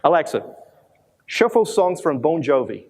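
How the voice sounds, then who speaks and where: A man from Brazil in his thirties with a strong Brazilian accent, giving a lively live demo talk at a conference, with many restarts and self-deprecating asides. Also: a little high, moderate pace. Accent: Brazilian Portuguese. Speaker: conference speaker. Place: large conference hall with audience.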